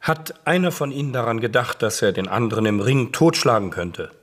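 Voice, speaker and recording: An actor playing a serious press interviewer asking formal, probing questions in a radio play. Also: male voice, mid-range voice, animated, a little fast, close to an everyday voice.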